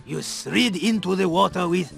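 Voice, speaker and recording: High, creaky, gracious contest official. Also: male voice, normal speed, soft background music. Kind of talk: video game voice acting.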